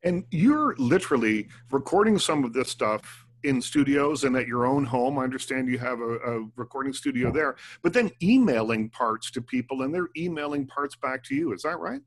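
Man interviewing, smooth scripted delivery. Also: mid-range voice, animated, moderate pace. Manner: smooth, scripted intro then conversational questions. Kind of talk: radio interview.

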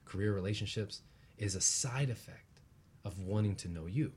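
A man with a fairly deep, husky voice talks as a guest teacher. He explains spiritual ideas earnestly and conversationally, often ending a thought with a quick tag question to check the listener follows. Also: darkish tone. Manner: earnest, explanatory, often tags phrases with "right?". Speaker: guest, relationship practitioner and teacher.

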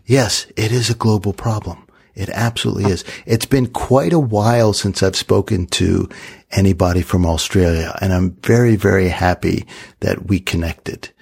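Male podcast host, husky voice, relaxed friendly interviewer. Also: low voice, moderate pace. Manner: friendly, laughs easily, relaxed. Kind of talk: casual interview chat.

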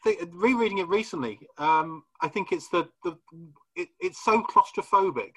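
A man's voice: high voice, animated, moderate pace, fairly bright tone, slightly rough, low-quality recording. A man hosting an academic podcast, developing literary points with frequent word repeats and restarts. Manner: fluent but restarting, repeats words as he builds a point. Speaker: podcast host.

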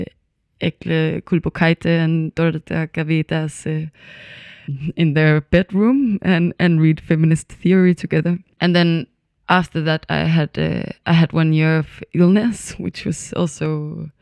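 Thoughtful young dancer, smooth voice. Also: medium pitch, a little mellow, dry recording. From Denmark. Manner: thoughtful, hesitant, many pauses and fillers. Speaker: dance student. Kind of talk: reflective conversation.

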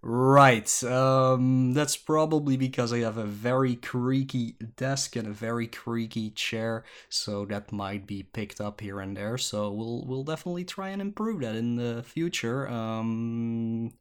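A man's voice: mid-range voice, measured pace. A young Belgian man with a Flemish accent, a native Dutch speaker, hosting a casual solo podcast with lots of ums and self-mocking restarts. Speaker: podcast host.

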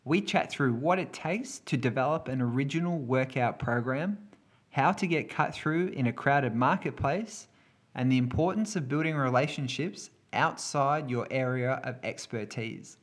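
A male podcast host with a middle-pitched voice opens the episode in an upbeat way, then moves into asking his guest questions with short, encouraging replies in between. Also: normal speed. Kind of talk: podcast interview hosting.

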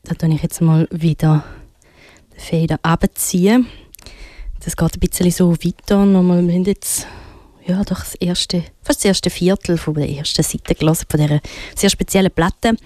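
A woman's voice: fairly low pitch, steady tone, normal speed, darkish tone, dry recording, clean studio sound. A woman with a Swiss German accent presenting music casually and unscripted on radio, often hesitating as she searches for words.

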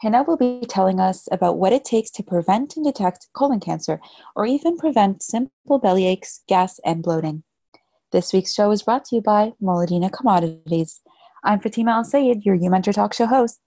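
A woman hosting an interview talk show, reading a scripted opening and then asking relaxed questions. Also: medium pitch, fairly quick, no room sound.

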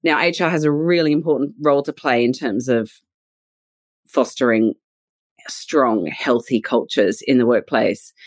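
A woman's voice: rumbling low, unhurried, no echo, clean studio sound. Australian woman, easy laughing interview talk. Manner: warm, laughs readily, thoughtful with "you know" fillers. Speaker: guest, leadership and culture consultant. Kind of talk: conversational podcast interview.

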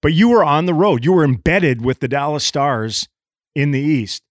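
A man's voice: a little high, moderate pace, no room sound, clean studio sound. A middle-aged man hosting a podcast, teasing his guests and stumbling over words. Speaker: podcast host. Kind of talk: casual podcast chat.

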